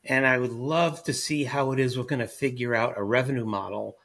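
Husky-voiced man explaining as a guest expert. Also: mid-range voice, fairly even intonation, brisk, a bit bright. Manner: confident, explanatory. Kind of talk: panel discussion.

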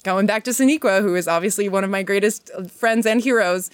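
A woman guest on a podcast, speaking casually in a halting, heartfelt way as she searches for words. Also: medium pitch, dry recording.

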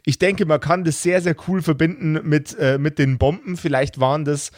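Male game master for casual podcast talk, slightly raised pitch, halting delivery with repeated word starts, dry sound. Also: steady tone, dry recording. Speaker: game master of an actual-play role-playing podcast.

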